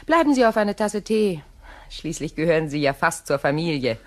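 An actress in a radio play playing an imperious middle-aged widow, genteel, measured and coolly sardonic. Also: female voice, low-pitched, big pitch swings, a little mellow. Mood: composed, calculating.

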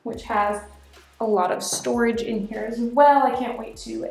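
A young woman giving a chatty, excited tour of an empty apartment, filming herself with a handheld camera. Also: a little high, a little slow, vocal fry. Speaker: vlogger. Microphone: handheld camera at arm's length. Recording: echoey empty room with faint music.

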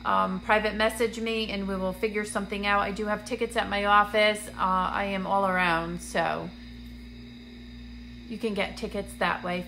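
A woman's voice: medium pitch, sharp tone, rough recording. Congested woman chatting on a phone live stream. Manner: chatty, greets viewers by name, many ums. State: congested, getting raspy. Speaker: medium hosting a live stream. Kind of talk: casual livestream chat to viewers. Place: dim indoor room, seated on a couch. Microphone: phone camera at arm's length.

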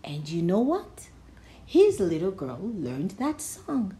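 A woman reading a children's storybook aloud, measured and expressive, with dramatic emphasis on key phrases. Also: medium pitch. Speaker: storybook reader.

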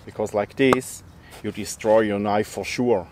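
Bushcraft instructor, 40s, unhurried friendly explaining, recorded outdoors in a forest with light background noise. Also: male voice, lowish voice, fairly even intonation. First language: German. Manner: plain, friendly, step-by-step explaining. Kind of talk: outdoor tutorial demonstration.

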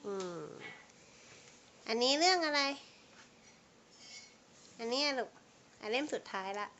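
A woman's voice: high voice, animated, slow, sharp tone. A woman talking casually to a small child as a parent, asking short questions and repeating the answers. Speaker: parent quizzing a toddler on storybook titles. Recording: noisy home recording.

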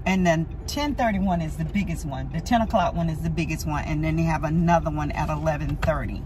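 A woman's voice: sits low, a little fast. A middle-aged woman chatting casually to the camera as a vlogger, recorded on a phone inside a car. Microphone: phone at arm's length. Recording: loud car noise.

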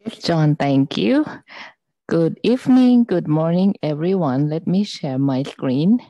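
A woman in her thirties from Thailand, with a Thai accent, presenting products over a video call on a headset mic from home, speaking softly with many fillers. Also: deep voice, lively intonation, slow, no room sound. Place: home room, indoors. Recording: dull lo-fi video call audio.

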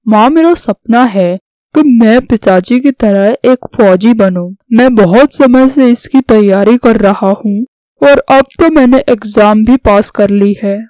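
An earnest young would-be soldier from a cartoon story , voiced by a woman. The voice sits fairly high and sincere, declaring devotion plainly in a very dry recording. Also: measured pace, mellow, no echo, natural voice, rough recording. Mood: earnest, resolute. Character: earnest, patriotic young man becoming a soldier. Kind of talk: scripted Hindi cartoon story dialogue.

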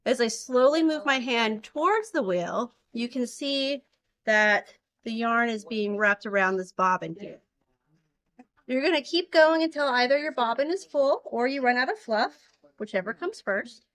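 A woman's voice: on the high side, lively intonation, measured pace, a bit bright. A woman in her thirties giving an informal hands-on demonstration, explaining things simply, recorded indoors on a camera a short distance away. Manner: explanatory, uses simple everyday comparisons. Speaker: fiber spinning demonstrator. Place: indoor farm shop with concrete floor. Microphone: handheld camera a short distance away.

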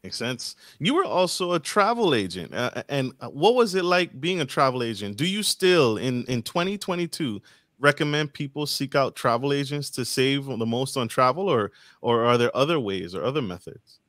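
A man hosting a podcast, energetic and stumbling over repeated words as he introduces and questions his guest. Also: medium pitch, normal speed, no room sound, clean studio sound. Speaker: podcast host. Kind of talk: podcast interview. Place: home studio with green screen. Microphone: studio mic with headphones.

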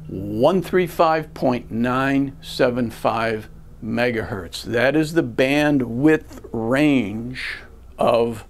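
An American man in his sixties giving a deliberate, step-by-step lesson to camera as a flight instructor, recorded indoors on a lapel mic. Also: mid-range voice. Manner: deliberate, step-by-step teacherly delivery. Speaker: flight school ground instructor. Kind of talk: instructional lecture to camera with rhetorical questions. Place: indoor video studio. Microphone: lavalier clipped to shirt.

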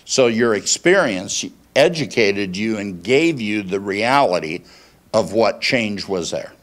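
Slow, low-voiced older male pastor. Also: expressive, fairly bright tone. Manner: deliberate, pausing phrases. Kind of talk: conversational sermon with questions to congregation. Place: small church meeting room, indoors. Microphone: handheld microphone held at chest.

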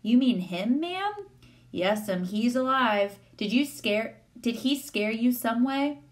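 A small schoolboy from a storybook acted by a woman narrator, pitched high. He speaks in rural Southern dialect. He is eager, courteous and protective, a little gentleman. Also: lively intonation, slow pace. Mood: concerned. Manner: folksy, courteous.

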